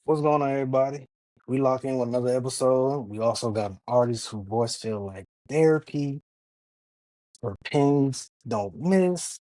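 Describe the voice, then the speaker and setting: A man's voice: medium pitch, normal speed, mellow, dry recording, poor audio. A man hosting a casual interview podcast, talking in a laid-back, slangy, hyped-up way. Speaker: podcast host.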